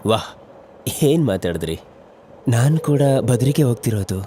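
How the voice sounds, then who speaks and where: A man voicing a young male train traveller in a horror story, chatty and expressive with some hesitation. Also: mid-range voice, moderate pace, a little hiss or hum, lightly acted. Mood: friendly, eager. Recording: music behind the voice.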